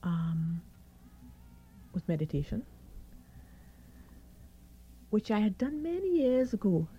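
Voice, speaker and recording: A middle-aged woman doctor with a croaky, hoarse voice, calmly explaining her experience as a radio guest. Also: medium pitch, takes it slowly, highs cut off, a little hiss or hum. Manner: calm, reassuring, explains step by step. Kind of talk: radio interview.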